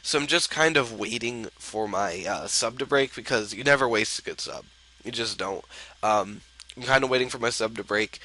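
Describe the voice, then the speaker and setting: A man's voice: mid-range voice, normal speed, pushed and bright, rough recording. A young man with a stuffy, sick voice, casually commenting on his own online game match with lots of ums and uhs. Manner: rambling, filler-heavy, laid-back. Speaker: gaming video host.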